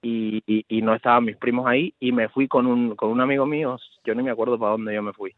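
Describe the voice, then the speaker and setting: A man from Mexico with a Cuban accent, calling in to a radio show from his car. He talks fast and emphatically, and his voice comes through a narrow, tinny phone line. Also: mid-range voice, fairly even intonation, no room sound. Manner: rapid, emphatic storytelling. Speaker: caller. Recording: phone line while driving.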